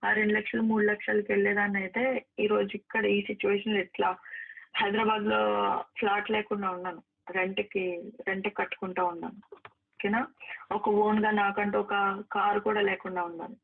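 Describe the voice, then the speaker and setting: A woman's voice: medium pitch, little pitch movement, fairly quick, creaky, slight background noise. A woman arguing in a private phone call, emphatic and repetitive, reproaching the person she is talking to. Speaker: actress. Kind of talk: heated private phone conversation. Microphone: phone line. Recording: narrow, tinny recorded phone call.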